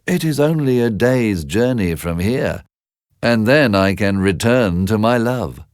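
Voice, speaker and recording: A man's voice: lowish voice, lively intonation, a little slow, no echo, studio quality. A man voicing an earnest, questioning young fisherman in a bedtime storybook tale, eager and easily led. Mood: curious, eager.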